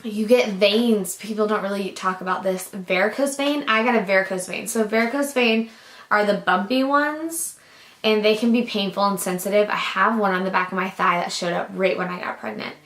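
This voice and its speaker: Chatty young woman, groggy raspy voice. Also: mid-range voice, normal speed, fairly bright tone. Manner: chatty, rambling, lots of likes and ums. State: lingering cough. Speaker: vlogger. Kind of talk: casual chat to camera. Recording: echoey room.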